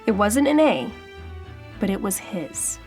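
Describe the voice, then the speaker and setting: A woman narrating a children's storybook aloud in a dramatic, suspenseful way with deliberate pauses. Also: mid-range voice, very animated, a little mellow. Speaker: storybook reader. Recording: faint background music. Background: faint music.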